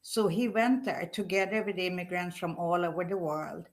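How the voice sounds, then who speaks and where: A woman's voice: fairly low pitch, steady tone, moderate pace. An older woman who is a guest on a chat show, telling stories about her marriage in a chatty way and laughing easily. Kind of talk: casual chat.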